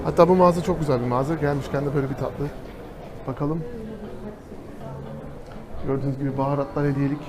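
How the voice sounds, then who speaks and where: A man's voice: medium pitch, fairly even intonation, fast talker, pauses often, crowd noise. A man walking through a city and chatting to his viewers, calling them friends as he points things out. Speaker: travel vlogger. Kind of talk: casual travel vlog commentary. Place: outdoors in city streets. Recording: noisy outdoor street recording. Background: street noise and passing vehicles.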